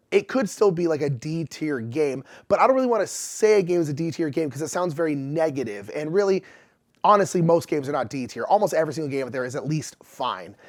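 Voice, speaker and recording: Chatty man, slightly higher voice. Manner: chatty and animated, gesturing with hands. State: recovering from illness, voice a bit rough. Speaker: board game channel host. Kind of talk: casual explanatory talk to camera. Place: indoor room in front of game shelves.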